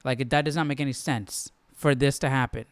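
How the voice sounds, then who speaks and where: A man's voice: mid-range voice, fairly even intonation, fairly quick, smooth, no room sound. A man hosting a live Bible study, thinking aloud in a conversational, questioning way. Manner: conversational, questioning, repeats himself for emphasis.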